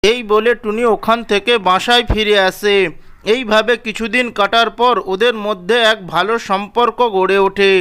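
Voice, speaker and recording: A man's voice: high voice, steady tone, unhurried, pushed and bright, clean tone. A man narrating a scripted children's story.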